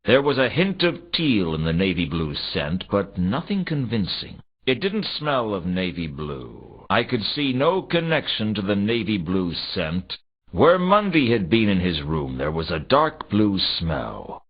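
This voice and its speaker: An actor playing a weary, wry werewolf private detective, narrating a noir game's inner monologue in a deadpan, brooding way. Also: male voice, sits low, unhurried, husky, heavily acted. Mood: weary, musing. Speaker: narrator and protagonist of a detective adventure game. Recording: dull, compressed 1990s game audio.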